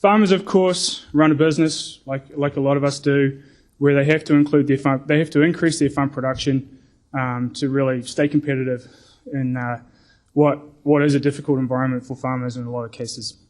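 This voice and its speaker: A middle-aged man with a New Zealand accent giving a conference presentation, talking deliberately with frequent ums and repeated words. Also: mid-range voice, steady tone, a little mellow. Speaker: conference speaker.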